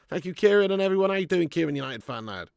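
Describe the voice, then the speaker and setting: A man hosting a live fan watch-along of a football match at home, chatting to viewers with sweary banter and bursting into loud cheering at chances. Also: sits high, lively intonation, quick pace, vocal fry, clear, resonant tone, no echo, studio quality. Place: at home in front of a camera.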